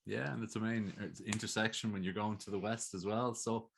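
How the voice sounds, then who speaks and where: A man's voice: lowish voice, fairly even intonation, a little fast, gravelly, slight background noise. A man with an Irish accent hosting an interview, asking long, rambling questions in a chatty way. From Ireland. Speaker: interview host. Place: video call.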